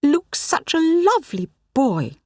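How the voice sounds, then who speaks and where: A woman reader puts on a stern older lady academic for an audiobook. She is proper and exasperated, with polite, clipped reproach, pitched high and swinging in tone, in a dry recording. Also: huge pitch swings, slow pace, a little mellow, no echo, exaggerated voice. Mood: apologetic.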